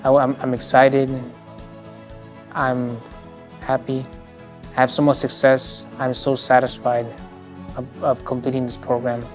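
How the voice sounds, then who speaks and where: A man's voice: medium pitch, monotone, unhurried, long pauses, soft background music. A young man in his twenties telling about his program hesitantly, with many fillers. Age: 20s. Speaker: program student.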